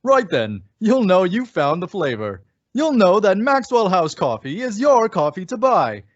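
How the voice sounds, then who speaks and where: A man's voice: high-pitched, sing-song, a little slow, no room sound. A man announcing and reading ads in a polished, emphatic old-time radio style. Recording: dull lo-fi radio sound, music behind.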